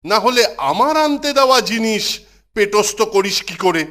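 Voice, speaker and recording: High-pitched, stern old schoolmaster. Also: male voice, lively intonation, moderate pace, a bit bright. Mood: angry, scolding. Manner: commanding, scolding. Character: stern, irritable older male hostel superintendent.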